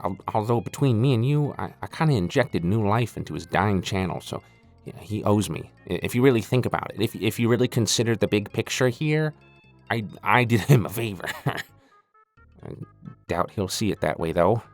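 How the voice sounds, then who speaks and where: A man's voice: fairly low pitch, animated, moderate pace, faint music behind. A man playing a cocky, goofy intruder who has hijacked an audio show, stammering and rambling irreverently as he addresses the listener. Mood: shifty, smug. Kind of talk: comedic monologue addressed to the listener.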